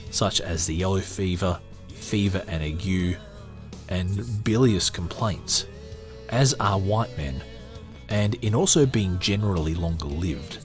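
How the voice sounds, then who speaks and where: A man hosting a podcast, chatting with many hesitations, then reading a book aloud in a steady, measured way. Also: fairly low pitch. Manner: hesitant, filler-heavy chat between measured reading. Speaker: podcast host. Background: faint guitar music.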